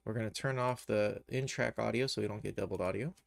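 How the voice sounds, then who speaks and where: Gravelly low-voiced man, casual tutorial. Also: fast talker, dry recording. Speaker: streamer, animation tutor. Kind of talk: live software tutorial, casual thinking aloud.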